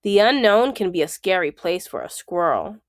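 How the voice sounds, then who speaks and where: A woman's voice: lowish voice, very animated, normal speed, no room sound, clean studio sound. A woman reading a children's book aloud, enunciating item by item and adding explanatory asides.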